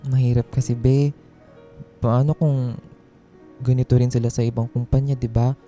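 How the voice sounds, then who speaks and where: A man's voice: medium pitch, level tone, normal speed, dark tone, lightly acted. A Filipino man playing a stressed, plaintive young office worker confiding in a friend in a radio drama. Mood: weary, worried. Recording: music bed under dialogue.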